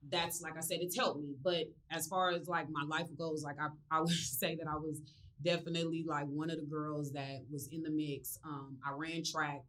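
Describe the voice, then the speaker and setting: A young woman from California, a guest in a studio interview, telling her story in a relaxed, rambling way with many fillers. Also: sits low, normal speed, distant mic, poor audio. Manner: rambling, many ums and likes, relaxed and open. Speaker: interview guest, business owner. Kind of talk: casual interview chat.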